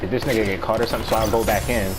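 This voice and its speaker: An American man with a low voice tells a story in a casual, conversational way. His delivery is halting, slangy and profane. There is clear noise behind him. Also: fairly even intonation. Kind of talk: casual storytelling chat among friends.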